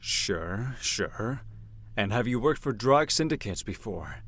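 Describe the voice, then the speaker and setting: A man acting as a gruff, domineering, businesslike henchman of a crime syndicate in an audio drama. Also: fairly low pitch, animated, slow pace, fairly bright tone, heavily acted. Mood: probing, businesslike. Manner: blunt, domineering, no-nonsense.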